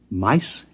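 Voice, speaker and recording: An American actor playing a middle-aged, formal and bemused Pentagon chief in a 1950s radio drama, deliberate and official. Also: male voice, fairly low pitch, breathy edge, exaggerated voice. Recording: muffled old radio recording.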